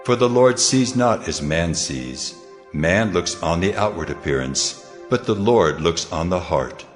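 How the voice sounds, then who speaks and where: A man's voice: deep voice, lively intonation, measured pace. A man voicing God as a solemn, commanding ancient authority in a dramatized Bible story. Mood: solemn, admonishing. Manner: solemn, declarative. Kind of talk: dramatized Bible narration acting. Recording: long cavernous reverb, music underneath. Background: music.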